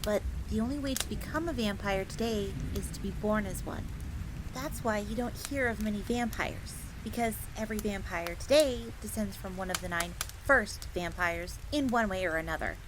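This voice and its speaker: A woman's voice: medium pitch, moderate pace, close to an everyday voice. A woman playing a chatty, deferential fox maid who talks about herself in the third person in a fantasy audio roleplay. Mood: earnest, explanatory. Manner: refers to self in third person, informal, explanatory. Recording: clear background noise, crackling fire ambience.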